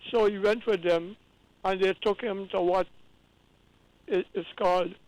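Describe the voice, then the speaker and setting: A man's voice: high-pitched, fairly even intonation, a little slow, a little mellow, no room sound, low-quality recording. A man from Guyana calling in to a radio talk show, explaining at length with earnest repeated restarts. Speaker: caller.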